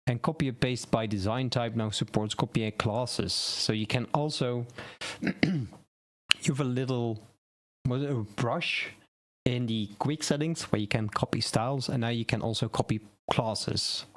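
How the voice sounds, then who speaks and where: A man's voice: mid-range voice, a little slow. A man whose first language is Dutch, hosting a casual live stream on web design news, rambling and correcting himself as he thinks aloud.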